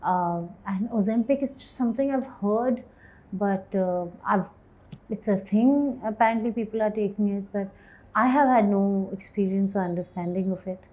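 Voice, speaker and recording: A woman in her forties answers interview questions in a low, warm voice, taking her time and often pausing to find her words. She is candid and reflective, with easy laughter and a tone pitched at the middle of her range. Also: low-quality recording. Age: 40s. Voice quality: low voice, self-described as bass. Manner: thoughtful, hesitant, laughs easily. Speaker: actor and writer, interview guest.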